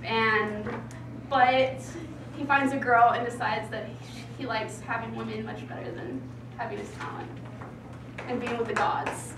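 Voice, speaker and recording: A young woman giving an informal student presentation in a halting, giggly manner, recorded from across a classroom. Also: a little high, steady tone. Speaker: student performer. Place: classroom, indoors. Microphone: distant camera microphone. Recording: roomy, noisy classroom from far off.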